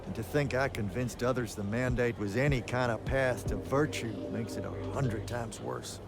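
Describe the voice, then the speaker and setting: A gruff old-hand mentor from a fantasy game voiced by a man, world-weary and wry. His mid-pitched voice rolls through folksy, colloquial phrases with music clearly behind it. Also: moderate pace, natural voice. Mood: bitter, weary. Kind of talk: dramatic fantasy video game acting.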